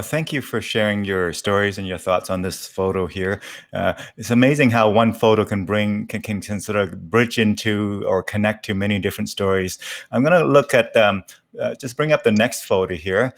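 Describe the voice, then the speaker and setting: A man's voice: on the low side, moderate pace. A man hosting a casual, reflective interview, speaking in a hesitant, rambling way. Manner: hesitant, rambling, reflective, with frequent murmured acknowledgments. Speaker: interview host.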